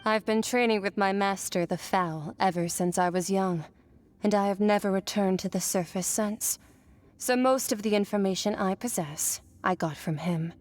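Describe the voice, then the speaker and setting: A woman's voice: mid-range voice, moderate pace, a little mellow, faint music behind, lightly acted. A woman voicing an aloof, otherworldly warrior in a video game, detached and matter-of-fact. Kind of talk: video game character acting.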